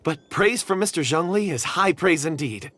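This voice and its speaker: Lively, polite, modest companion. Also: male voice, a little high, normal speed. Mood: modest, friendly. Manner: courteous, modest, earnest. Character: polite, modest, earnest male traveling companion with keen senses. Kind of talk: video game voice acting. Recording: faint music behind the voice.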